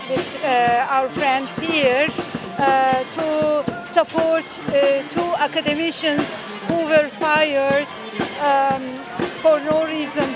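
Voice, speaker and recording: Uma mulher com sotaque turco fala devagar e com voz aguda, hesitando com muitas pausas e sons de preenchimento. Ela está numa manifestação ao ar livre na rua, e música alta toca bem perto, atrás dela. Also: female voice, high voice. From Turkey. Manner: halting, many fillers, earnest. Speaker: rally participant. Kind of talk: street interview. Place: outdoor street rally.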